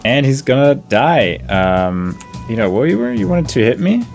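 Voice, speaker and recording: A man's voice: medium pitch, sing-song, normal speed, vocal fry, music in the background. A man giving casual game commentary on his own playthrough, thinking aloud and easily amused.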